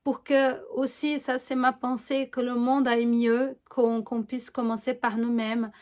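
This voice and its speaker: Woman astrologer, higher register, casual and earnest, halting delivery with repeated words. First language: Portuguese. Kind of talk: casual explanation to camera.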